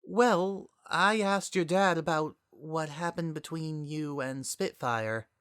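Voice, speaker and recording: A gentle young cartoon mare, put on by a man, tactful and hesitant, pitched high with a bright tone. She belongs to a read-aloud story. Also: slow pace, clear, resonant tone, dry recording, studio quality.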